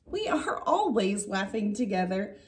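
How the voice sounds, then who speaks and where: A woman in her thirties reading a picture book aloud like a teacher, with expressive, drawn-out emphasis on key words. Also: mid-range voice. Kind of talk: animated children's story read-aloud. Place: indoor classroom.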